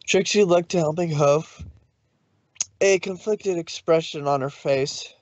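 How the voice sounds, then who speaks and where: A man's voice: fairly high pitch, a little slow, no room sound, dull audio. A man narrating a story informally, with a loose delivery in which he sometimes corrects himself. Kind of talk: informal fan fiction reading.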